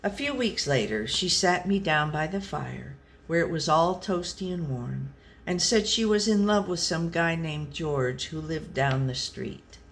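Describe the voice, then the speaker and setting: Slow, deadpan storybook pet read by a woman. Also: low-pitched, slight background noise. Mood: wary, puzzled. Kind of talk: scripted storybook narration.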